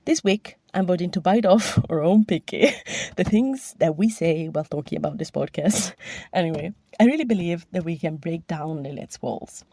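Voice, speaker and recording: A woman's voice: fairly low pitch, expressive, moderate pace, warm tone, no room sound, clean studio sound. A woman recording a personal voice message to give her opinion as a podcast listener.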